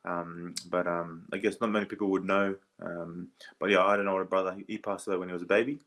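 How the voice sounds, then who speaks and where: An Australian man in his thirties, a guest on a podcast, talking casually and hesitantly with many pauses and restarts. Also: low voice, level tone, fairly bright tone. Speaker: podcast guest, former rugby league player. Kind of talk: casual interview chat.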